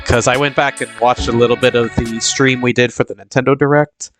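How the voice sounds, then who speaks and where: A man's voice: mid-range voice, fairly even intonation, a little fast, a bit bright, faint music behind. A young man with a sniffly, congested voice from a cold, chatting casually as a live-stream host in a rambling way full of fillers and restarts.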